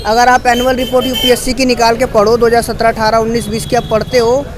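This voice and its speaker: A man's voice: very high voice, level tone, fast. A man from Delhi, a civil services aspirant, speaking emphatically in a street interview and mixing English phrases into his talk. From Delhi, India. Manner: emphatic, talkative, mixes English phrases into Hindi. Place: busy city street outdoors. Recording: busy crowd noise outdoors.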